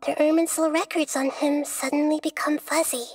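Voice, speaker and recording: Young goddess of wisdom for an anime game, played by a woman: high, kind, thoughtful, articulate, over music. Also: little pitch movement, close to an everyday voice. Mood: serious, thoughtful. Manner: measured, reflective, articulate. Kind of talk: anime-style video game voice acting.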